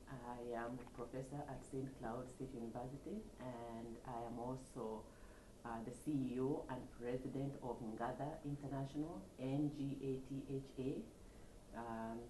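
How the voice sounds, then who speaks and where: A woman's voice: extremely low pitch, warm tone. Woman professor, roomy sound. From Kenya. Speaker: professor and nonprofit founder. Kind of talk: interview answers. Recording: roomy echo, faint background noise.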